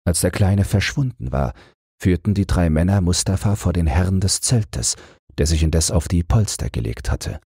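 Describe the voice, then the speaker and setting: A male audiobook narrator reads a bedtime fairy tale from a script. His voice is low and husky, and the recording is close and dry. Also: animated, normal speed, dark tone, no echo.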